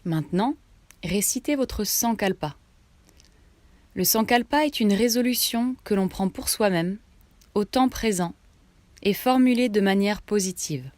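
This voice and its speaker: A woman guiding a relaxation meditation, giving instructions in short phrases with pauses between them. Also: mid-range voice, a little mellow, no echo. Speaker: yoga nidra meditation guide.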